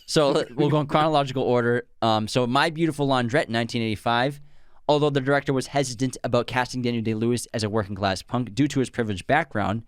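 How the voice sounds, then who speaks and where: Young male podcast co-host, smooth clear voice, dry close sound, chatty trivia reader. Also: mid-range voice, quick pace, no echo. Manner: chatty, reads out film trivia. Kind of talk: casual film chat.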